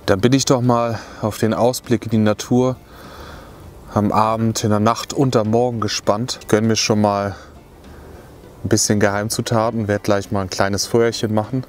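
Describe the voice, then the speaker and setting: A man's voice: lowish voice, little pitch movement, normal speed, a little mellow, soft background music. A young man vlogging outdoors from under a tarp, chatty and rambling, with lots of filler words, talking to a close camera. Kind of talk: casual chat to camera.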